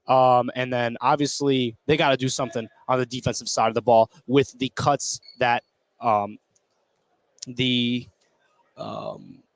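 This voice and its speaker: A man's voice: medium pitch, no echo. A man doing casual, conversational color commentary on a live basketball game, with slangy reactions.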